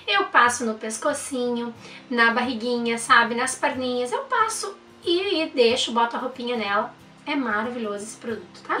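A woman's voice: on the high side, bright, forceful tone, echoey room, recorded from a distance. A young woman, reviewing baby products in a chatty, enthusiastic way and using lots of diminutives. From Brazil. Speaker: mom vlogger. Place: indoor room.

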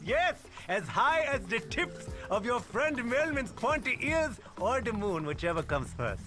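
A bossy cartoon lemur monarch. The voice sits high and swings theatrically from line to line, full of grand self-regard, with game music underneath. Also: male voice, sing-song, measured pace, heavily acted. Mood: bossy, self-important. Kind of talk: animated video game acting.

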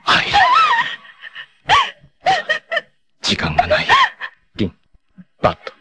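An actor playing a proud, self-sacrificing long-haired martial artist in a 1980s anime, speaking solemnly and dramatically with fierce shouts in battle. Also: male voice, brisk, very noisy, close to an everyday voice. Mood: tender farewell.

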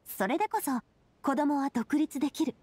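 High-voiced, confident young female ruler. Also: animated, moderate pace, a little mellow, dry recording. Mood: earnest, assured. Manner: casual, frank, assured speech. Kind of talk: Japanese video game voice acting.

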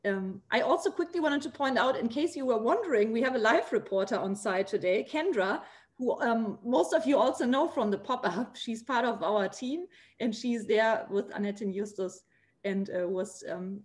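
A woman's voice: fairly high pitch, normal speed. A woman co-hosting an online event, giving announcements and thanks with some fillers. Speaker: event co-host.

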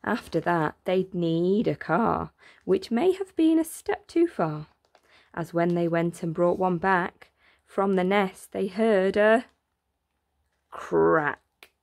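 A woman reading a rhyming children's picture book aloud in an expressive, deliberate way, drawing out words for emphasis. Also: fairly low pitch, gravelly. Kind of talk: expressive read-aloud of a rhyming bedtime story.

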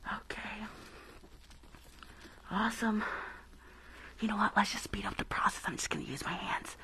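A woman's voice: medium pitch, fairly even intonation, pushed and bright, full character voice, poor audio. Whispering crooked, impatient dentist acted by a woman. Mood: greedy delight. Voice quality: breathy whisper. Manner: hurried, bossy, muttering repeated orders. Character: rude, impatient, crooked woman dentist, gleefully pulling teeth. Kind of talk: whispered ASMR roleplay. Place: small indoor room, livestream setup.

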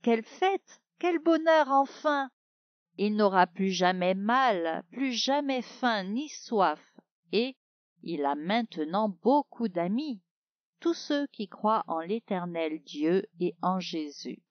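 Slow-paced woman telling children's Bible stories. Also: mid-range voice, no room sound, muffled recording. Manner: expressive, addressing the child listener directly. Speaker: storyteller for children. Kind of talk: children's Bible story narration.

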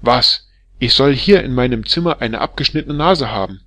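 A man voicing a shrill, furious middle-aged barber's wife who scolds and hurls insults at her husband in a storybook reading. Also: lively intonation, normal speed, fairly bright tone, dry recording, lightly acted. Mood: furious scolding.

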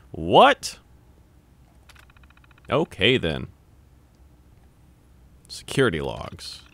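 A man's voice: sits low, creaky. A young man playing a video game, chatting casually, swearing and yelping when startled, and reading on-screen text aloud. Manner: loud startled yelps and swearing. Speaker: gamer playing on camera. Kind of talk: casual let's-play commentary.

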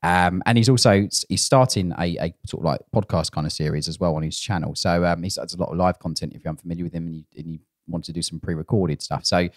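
A man's voice: low voice, fast, hardly any pauses, no room sound. A man in his thirties with a southern English accent, chatting casually and ramblingly as a podcast co-host on a close mic. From Essex, England. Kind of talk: casual chat. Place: small podcast studio. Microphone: close microphone on boom arm.

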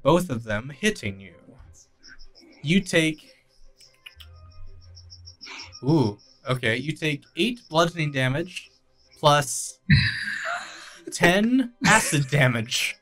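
A man in his thirties narrating as game master of a tabletop game on a headset, halting and relaxed, with many fillers. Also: on the high side, soft background music.

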